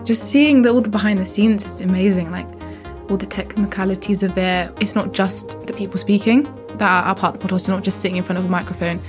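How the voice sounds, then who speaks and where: A woman's voice: medium pitch, fairly even intonation, fast talker, over music. A British woman from a podcast group, chatting enthusiastically and thinking aloud. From Britain. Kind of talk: casual reflective chat.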